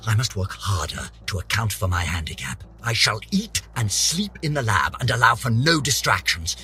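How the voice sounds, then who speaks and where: A man's voice: lowish voice, big pitch swings, moderate pace, sharp tone, slightly rough, faint music behind, heavily acted. An actor voicing a pompous, vain older British scientist, theatrically narrating his journal for a game with haughty, florid melodrama. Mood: scheming. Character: pompous, vain, aging male scientist, indignant and obsessive.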